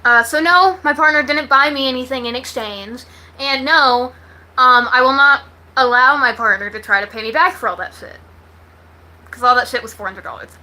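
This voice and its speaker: Bright, high-voiced American woman chatting bluntly. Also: moderate pace, poor audio. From Texas, United States. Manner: blunt, slangy, swears freely. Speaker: livestream host. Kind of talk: casual chat to viewers.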